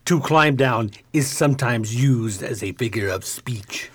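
A man's voice: mid-range voice, a little slow, slightly rough. A man playing a genteel, brave old stage magician in a radio play, with a formal, avuncular delivery. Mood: calm, grave.

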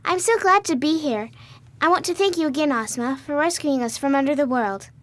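A woman's voice: high-pitched, fairly quick, a bit bright. A woman playing an earnest, kind young farm girl in a radio drama, speaking politely. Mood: grateful, fond. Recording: noisy radio drama recording.

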